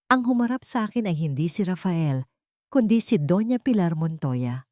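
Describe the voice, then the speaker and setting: A woman narrates a dramatic first-person story in a solemn, measured, emotive way. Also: deep voice, mellow, dry recording, clean studio sound.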